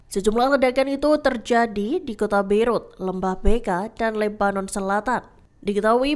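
High-voiced woman reading news. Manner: formal, measured reading. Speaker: news presenter. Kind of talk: scripted news narration.

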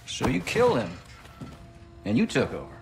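A man playing a brisk, commanding military contractor in a video game. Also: medium pitch, huge pitch swings, vocal fry, soft background music. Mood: probing. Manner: brisk, in charge. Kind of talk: cinematic game acting. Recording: dramatic score over the dialogue.

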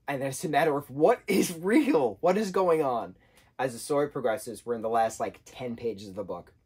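Animated husky man reviewing a book. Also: medium pitch, moderate pace. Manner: animated, gestures a lot, acts out quotes in changing voices. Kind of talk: casual vlog book review. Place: indoor room, sofa with patterned throw.

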